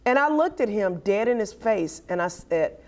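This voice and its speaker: A woman hosting a podcast who talks in a casual way. Her voice is raspy, with some bass and hardness to it, and it carries loudly as she tells a lively story. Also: normal speed. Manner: loud voice that carries, animated storytelling. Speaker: podcast host. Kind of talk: casual chat, telling a story.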